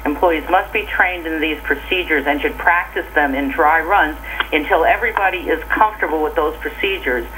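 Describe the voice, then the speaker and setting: An American woman delivers a prepared official briefing by telephone. Her voice sits a little low for a woman, and there is faint noise on the conference line. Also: normal speed, a bit bright, low-quality recording. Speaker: government worker safety official presenting.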